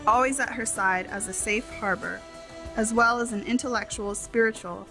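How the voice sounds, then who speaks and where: A woman reading a translated tribute and speech as a voice-over, in measured, formal phrasing with short clauses. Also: a little high, steady tone, pushed and bright, soft background music.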